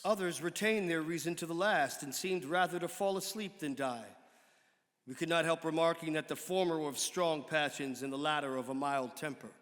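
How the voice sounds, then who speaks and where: A man with a somewhat high voice gives a dramatic reading of a historical account. He is measured and formal in his phrasing. The sound carries the long reverb of a hall. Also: fairly bright tone. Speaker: actor giving a dramatic reading. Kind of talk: dramatic reading of an 18th-century pamphlet.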